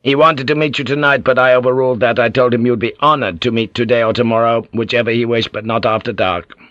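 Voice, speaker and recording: A man's voice: mid-range voice, fairly even intonation, fast talker, a bit bright, no echo, highs cut off, natural voice. A man voicing a formal, humorless samurai officer reporting to his lord.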